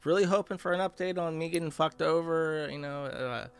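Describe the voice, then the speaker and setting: A man streaming in a sarcastic, profane, exasperated rant, often halting mid-thought. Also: fairly high pitch, steady tone, clear, resonant tone. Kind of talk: ranting game-stream commentary. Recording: loud game music and gunfire behind voice. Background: video game music and gunfire.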